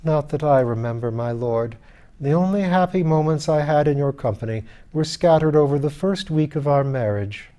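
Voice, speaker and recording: A man's voice: a little high, normal speed, warm tone, close to an everyday voice. A man voicing a cold, sardonic skeleton lady in an audiobook, with a dry, cutting, formally courteous manner. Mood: bitter, dry. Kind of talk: audiobook character voice.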